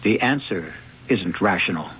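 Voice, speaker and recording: Wry, ominous radio mystery host. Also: male voice, low voice, expressive, a bit bright, breathy edge, full character voice. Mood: wryly ominous. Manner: wry, ominous, deliberate diction. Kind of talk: theatrical scripted narration. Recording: dull old radio broadcast recording. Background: music.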